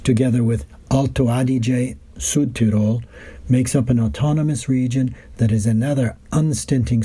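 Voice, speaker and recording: A man's voice: medium pitch, dark tone, breathy edge. A man reading his own written reports as scripted narration, in descriptive, literary phrasing. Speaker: wine and travel writer reading his reports.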